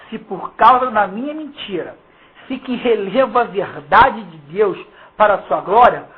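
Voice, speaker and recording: A preacher with a Carioca Brazilian accent and a husky voice expounds the Bible informally, emphatic and mocking, throwing slangy rhetorical questions at listeners. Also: mid-range voice. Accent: Carioca Brazilian Portuguese. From Rio de Janeiro, Brazil. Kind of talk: informal Bible exposition, reading verses and commenting. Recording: muffled, phone-like lo-fi sound.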